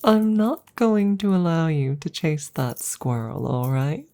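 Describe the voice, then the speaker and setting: Woman voicing a wry affectionate witch, low-pitched, mellow, intimate ASMR roleplay, soft and gently teasing. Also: animated, measured pace. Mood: amused, coaxing. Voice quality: soft, breathy, often slipping into whispers. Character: wry, affectionate young witch soothing her werewolf familiar. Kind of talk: ASMR audio roleplay, intimate close-up delivery.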